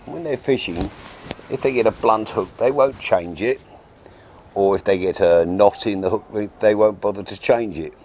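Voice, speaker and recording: A man's voice: fairly low pitch, moderate pace, darkish tone, a little hiss or hum. A man in his sixties, recorded outdoors in a garden, frankly talking through a fishing how-to step by step. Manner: plain, practical and frank, with short step-by-step instructions. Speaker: fishing instructor. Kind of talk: casual how-to demonstration. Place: outdoors in a garden by a wooden fence.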